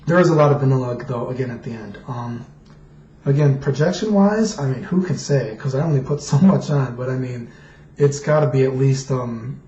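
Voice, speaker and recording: A young man with a deep, mellow voice talks through a fragrance review at an easy, rambling pace, full of ums and restarts. His quiet desk mic sounds dull, and the room echoes around him. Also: moderate pace, a little hiss or hum. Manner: rambling, many fillers and restarts. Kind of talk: casual vlog review. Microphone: quiet desk microphone.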